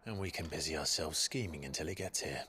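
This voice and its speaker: An actor playing a grim, watchful outlaw in a video game cutscene, terse and brooding. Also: male voice, very deep voice, fast, pushed and bright, a little hiss or hum.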